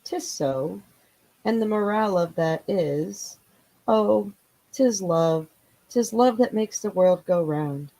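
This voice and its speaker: A woman plays a chummy noblewoman from a storybook. She speaks slowly and sententiously, fondly handing out morals. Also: mid-range voice, expressive, mellow, low-quality recording. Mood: chummy, moralizing. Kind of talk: storybook live read.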